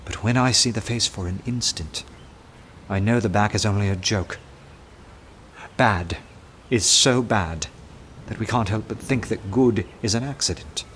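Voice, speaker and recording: An actor playing an earnest, awestruck detective who narrates a radio drama in an intense, dramatic manner. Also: male voice, fairly low pitch, a little hiss or hum. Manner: intense, dramatic, hushed at times.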